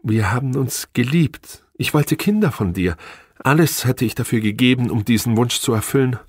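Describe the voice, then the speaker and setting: A man's voice: mid-range voice, lively intonation, normal speed, slightly rough, no room sound, clean studio sound, pitched above the performer's own voice. A man voicing an angry, demanding estranged wife with biting, indignant emphasis in an audiobook. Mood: wistful, pleading. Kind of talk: audiobook character dialogue.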